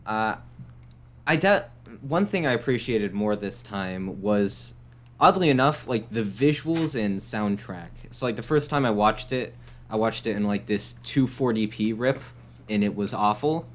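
Measured young man with a lowish voice. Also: lively intonation. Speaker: guest, anime reviewer. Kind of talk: casual chat.

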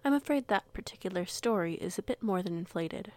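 A woman playing a courteous, gently authoritative werewolf pack leader in an audio roleplay, with formal, measured wording. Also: medium pitch, lively intonation, lightly acted. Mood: reassuring.

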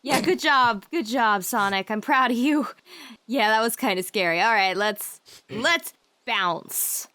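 A woman's voice: a little high, unhurried, bright, forceful tone. A woman voicing a bubbly, dippy young princess in a comedic fan dub. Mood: flustered. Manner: bubbly, giggly, rambling.

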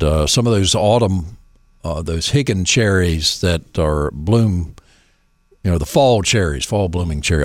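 Casting: loud, jokey male host, very animated pitch, dry studio sound. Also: dry recording. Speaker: radio show host. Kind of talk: call-in radio chat.

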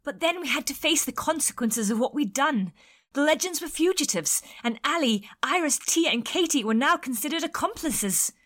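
A woman's voice: sits high, animated, normal speed, a bit bright. A woman playing an earnest adventurer in an audio drama, telling events as a dramatic story. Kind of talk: audio drama narration in character.

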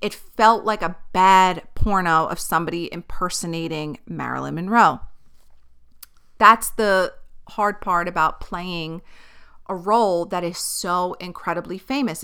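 Woman podcast host, early thirties, chatty and opinionated, bright tone, clear voice. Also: on the low side, normal speed. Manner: chatty, opinionated, tangent-prone. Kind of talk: casual chat.

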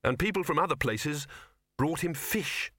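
A man's voice: on the high side, unhurried, pushed and bright, no echo, studio quality, voice pushed up. A man voicing an earnest, awkward young man, polite, hesitant and curious, in a storybook reading. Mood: wondering.

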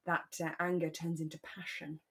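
Low-pitched woman, chatty and reflective. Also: level tone, normal speed, a bit bright, slightly rough. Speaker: podcast co-host. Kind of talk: casual discussion of a radio drama.

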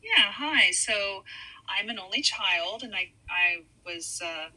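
A middle-aged woman from Hawaii, a podcast guest, speaking softly and haltingly with many fillers in a casual interview. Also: medium pitch, lively intonation, rough recording.

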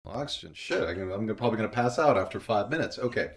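A man's voice: fairly low pitch, animated, very fast. A man in a casual interview, explaining ideas articulately and posing rhetorical questions to build his point. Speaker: guest, writer and creator of an audio drama.

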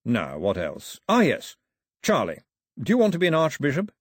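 A man puts on a plummy storybook king, genial and a little pompous. The delivery swings about in pitch, with a slightly husky edge. He is a fond father who is easily bewildered. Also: wildly swooping pitch, moderate pace, no echo, clean studio sound, heavily acted. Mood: jovial.

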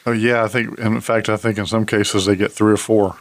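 A man's voice: lowish voice, level tone, brisk. A man who works as a contractor, co-hosting a home-improvement radio show in easygoing, plain-spoken chat.

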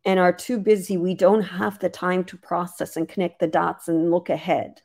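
Hoarse woman founder, low and gravelly, chatty webinar guest full of fillers. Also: fairly even intonation, moderate pace, darkish tone. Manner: chatty, many "like" fillers and restarts. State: hoarse, losing her voice. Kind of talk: casual webinar conversation.

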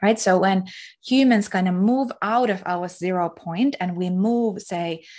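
A woman from Finland, speaking as an interview guest, reflective and pausing often to search for words. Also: medium pitch, a little mellow. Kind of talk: casual spiritual interview talk.

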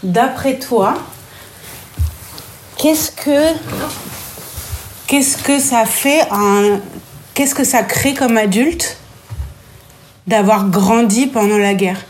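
A young woman hosting a podcast, asking her father relaxed, conversational questions and narrating between them. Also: fairly high pitch, drawn-out words, long pauses, a little hiss or hum. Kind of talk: interview and narration.

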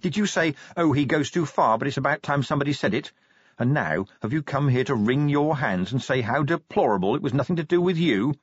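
A man's voice: mid-range voice, sing-song, brisk, breathy edge, no echo, highs cut off, voice pushed up. A man voicing a tough middle-aged male city watch commander in an audiobook, blunt and commanding. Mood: furious. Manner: blunt, commanding, scornful when angered. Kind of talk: audiobook character voice.

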